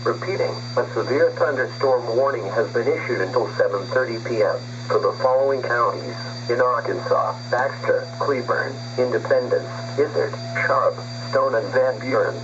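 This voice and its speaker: A man's voice: medium pitch, normal speed, hardly any pauses. Synthetic man's voice on noisy weather radio. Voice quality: synthetic text-to-speech voice. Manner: formal, impersonal, list-like reading. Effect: weather radio broadcast. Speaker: automated weather radio announcer. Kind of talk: scripted emergency weather warning announcement. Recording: dull noisy weather radio recording, humming.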